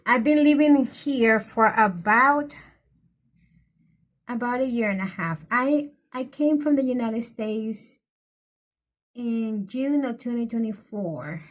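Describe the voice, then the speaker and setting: A woman's voice: a little high, slow. A middle-aged woman with a Colombian accent, chatting casually and meandering with frequent restarts while she colors for her vlog. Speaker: coloring vlogger.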